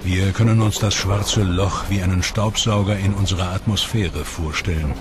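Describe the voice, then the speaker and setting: A man with a very low, deep voice narrates a science documentary in a measured, dramatic way. His reading is scripted and steady. Music plays clearly beneath him. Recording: voice-over with background music.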